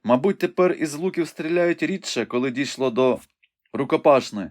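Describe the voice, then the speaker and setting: A man's voice: a little high, expressive, moderate pace, pitched above the performer's own voice, close to an everyday voice. A man playing a wounded young knight, impatient and commanding. His questions come urgent and emphatic, voice lifted a little above a man's middle range. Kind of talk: audiobook reading.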